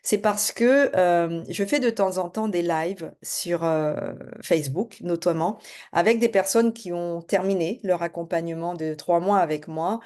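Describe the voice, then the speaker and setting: Fast-talking middle-aged woman, mellow low voice. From French Guiana. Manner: fast-talking, hesitant with many fillers. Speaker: spiritual and business coach. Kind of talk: casual self-introduction to camera.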